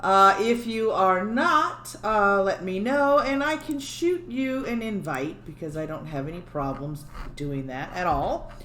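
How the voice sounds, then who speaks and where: A woman's voice: mid-range voice, lively intonation, a little slow, few pauses, fairly bright tone. Chatty lady streaming alone at home, laughs off her own tech glitches, echoey room. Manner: chatty, self-deprecating, jokes about her own glitches. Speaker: livestream host. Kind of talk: casual livestream chat. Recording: echoey room with faint background hum.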